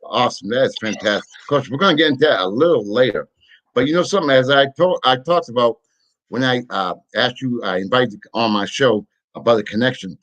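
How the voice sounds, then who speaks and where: A man hosting a sports interview show, effusive and quick to praise his guest. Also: medium pitch, fairly bright tone, dull audio.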